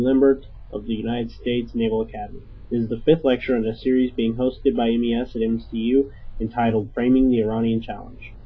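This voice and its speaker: A man reads a scripted program introduction in an even, measured way. His voice is husky and flat, and the recording sounds a bit muffled and dull. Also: mid-range voice. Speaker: program announcer. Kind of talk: scripted introduction read aloud.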